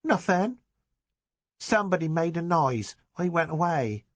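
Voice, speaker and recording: A man voicing a young village boy with a Dorset accent, talking in a high treble with rustic dialect. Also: expressive, a little slow, no room sound. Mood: defiant. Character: small tearful village boy, cheeky, playing tough.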